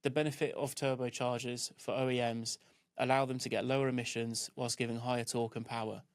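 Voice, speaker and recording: A man reading scripted narration for a training film in a measured, instructional way. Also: mid-range voice, little pitch movement.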